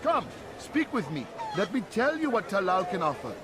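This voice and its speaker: An actor playing a persuasive street orator in a video game, declaiming fervently to rally listeners. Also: male voice, sits high, very animated, moderate pace, background noise. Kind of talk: public proclamation in video game.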